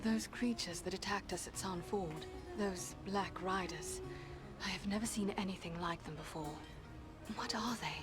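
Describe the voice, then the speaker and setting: A woman's voice: medium pitch, normal speed, lightly acted. Woman playing a poised, earnest elf warrior woman. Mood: quiet, questioning. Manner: formal, composed, serious. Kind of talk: dramatic fantasy game dialogue. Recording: orchestral game music behind.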